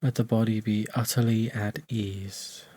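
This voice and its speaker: Low-voiced man guiding a meditation. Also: flat delivery, mellow, no room sound. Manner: soothing, unhurried phrases with long pauses. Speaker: meditation teacher. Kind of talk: guided meditation.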